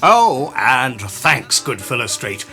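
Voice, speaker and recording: A man's voice: mid-range voice, big pitch swings, slow pace, bright, forceful tone, soft background music, full character voice. A man playing a jovial, teasing noble duke in a Shakespearean radio play. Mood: grand, genial. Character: jovial, teasing noble duke presiding over wedding revels.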